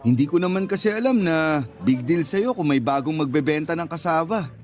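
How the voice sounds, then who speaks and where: A man's voice: fairly high pitch, expressive, brisk, soft background music, natural voice. A man playing an earnest, tactless young suitor in a Tagalog radio drama, careful and apologetic as he explains himself. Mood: apologetic, explaining.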